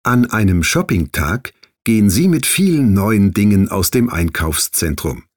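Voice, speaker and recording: A man's voice: lowish voice, big pitch swings, a little slow, dry recording, studio quality. A man reading scripted narration and exercise instructions for learners in a formal, instructive tone.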